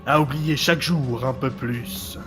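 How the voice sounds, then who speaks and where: A man plays a mocking, sinister director in an audio drama, a shadowy other self. He taunts with deliberate, theatrical phrasing over light background music. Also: medium pitch, close to an everyday voice. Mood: taunting, accusing. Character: mocking, menacing male director, hero's forgotten other self.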